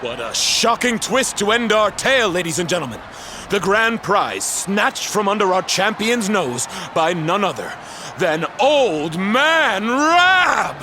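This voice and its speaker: A showy tournament announcer, high and very lively voice, unhurried, noisy game scene. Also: male voice, heavily acted. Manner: showy, booming. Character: excitable male tournament announcer. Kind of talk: video game voice acting.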